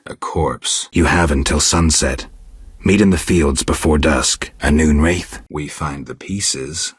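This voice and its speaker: A world-weary male monster hunter from a fantasy video game. The voice is extremely deep and raspy, recorded dry and close. He speaks in terse, deadpan phrases, gruff and stoic throughout. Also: dry recording, exaggerated voice. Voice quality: gravelly, husky.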